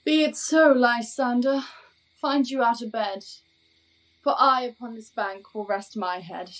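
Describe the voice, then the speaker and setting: Modest, affectionate young sweetheart played by an actress: high, unhurried classical verse. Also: female voice, close to an everyday voice, rough recording. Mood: gentle, coy. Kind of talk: classical Shakespearean verse acting.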